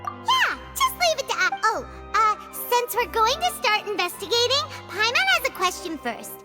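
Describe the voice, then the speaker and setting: A woman voicing a small, excitable, childlike female companion creature in a video game, squeaky, bubbly and emphatic. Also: moderate pace, exaggerated voice. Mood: cheerful. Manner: bubbly, emphatic, refers to self in third person. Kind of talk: animated video game voice acting. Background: loud tinkling children's music.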